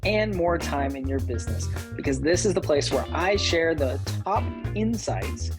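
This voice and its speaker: A man's voice: a little high, expressive, normal speed, over music. A man in his late thirties hosting a show, telling personal stories conversationally and repeating phrases for emphasis. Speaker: host, business coach. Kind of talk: personal storytelling to listeners.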